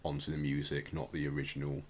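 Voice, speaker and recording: A man's voice: a bass voice, monotone, quick pace, a little hiss or hum. A man hosting a podcast, explaining things in a casual, rambling way with plenty of hedging. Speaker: podcast host.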